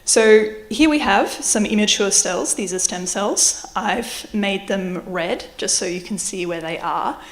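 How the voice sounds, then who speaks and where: A woman in her thirties from Adelaide, Australia, with an Australian accent touched by British, giving a public science lecture in a clear, explanatory way with light self-deprecating asides, into a microphone in a lecture hall. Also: medium pitch, a little slow, hardly any pauses. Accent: Australian with slight British influence. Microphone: microphone at a lectern or on her.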